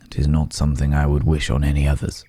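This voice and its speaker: A man playing a weary, remorseful werewolf in human form, speaking haltingly and gravely in an intimate ASMR roleplay. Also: a bass voice, monotone, warm tone, no room sound. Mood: pensive, explaining.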